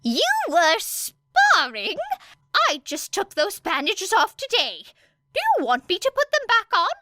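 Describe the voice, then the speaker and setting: A woman voicing a fussy, scowling old lady nurse with a sharp, exasperated manner in an audiobook. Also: very high voice, wildly swooping pitch, a little slow, pitched above the performer's own voice, exaggerated voice. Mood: scolding. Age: older. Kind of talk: audiobook character acting.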